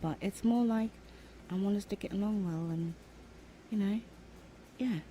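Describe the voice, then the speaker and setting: A young adult woman voicing a shy, sweet roommate in an ASMR audio roleplay, speaking softly and hesitantly with breathy whispered asides. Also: medium pitch, normal speed, slight background noise, natural voice. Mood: eager, sweet. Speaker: voice actor.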